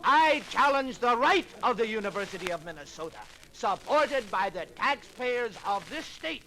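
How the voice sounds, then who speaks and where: An actor playing a fiery, declamatory fundamentalist preacher orating in a 1950s radio docudrama. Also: male voice, very high-pitched, sing-song, moderate pace, pushed and bright, full character voice. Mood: indignant. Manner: declamatory, impassioned, rhetorical. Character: fiery fundamentalist Baptist preacher denouncing evolution to a crowd. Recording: noisy vintage 1950s radio recording.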